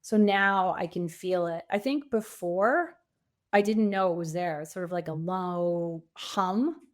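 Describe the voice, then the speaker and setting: A woman's voice: on the low side, measured pace. A middle-aged woman speaking as an interview guest, reflective and conversational. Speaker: podcast guest, coach and author.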